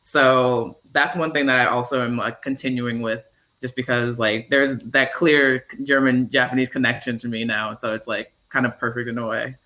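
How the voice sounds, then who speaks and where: Bright-voiced young man on a panel. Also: medium pitch, moderate pace. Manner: relaxed, filler-heavy storytelling. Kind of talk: panel discussion.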